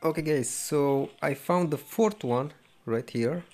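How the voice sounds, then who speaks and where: A man hosting a casual gameplay walkthrough, low-key and halting, sometimes repeating himself. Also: darkish tone. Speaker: gameplay video host. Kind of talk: casual game walkthrough commentary.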